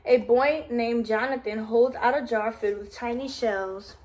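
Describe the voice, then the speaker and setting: A woman's voice: on the high side, measured pace, a little mellow, slight background noise, poor audio. A woman reading a picture book aloud to her toddler, with casual asides to the child as she goes.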